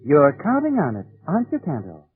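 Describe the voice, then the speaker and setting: Ominous, expressive 1940s radio mystery narrator, male. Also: a little high, a little fast. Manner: ominous, insinuating. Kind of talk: 1940s radio suspense narration, second person. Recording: old 1940s radio, narrow muffled band. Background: dramatic music.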